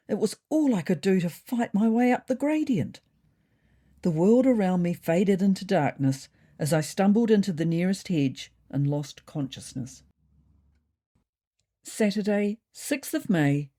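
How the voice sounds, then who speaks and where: Female audiobook narrator: measured and expressive, soft-edged timbre, lots of pitch movement in scripted first-person stories. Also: slightly rough.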